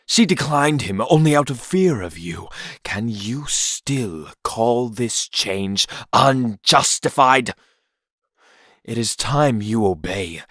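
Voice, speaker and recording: Casting: male actor as bitter, proud dark prince for fantasy audio drama; deliberate, menacing, wide dramatic swings, dry close sound. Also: lowish voice, no room sound, heavily acted. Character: cold, bitter, proud villainous prince of myth.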